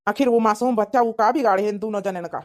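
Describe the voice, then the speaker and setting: A woman's voice: mid-range voice, fast talker, a little mellow, lightly acted. Woman acting a young village husband, Awadhi accent, mid-range voice, worried, suspicious, muttering, cartoon comedy. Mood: shocked, worried.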